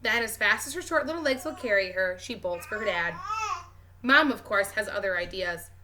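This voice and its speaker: A woman who teaches, reading a children's novel aloud with expressive, clearly phrased narration. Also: mid-range voice, moderate pace, background noise.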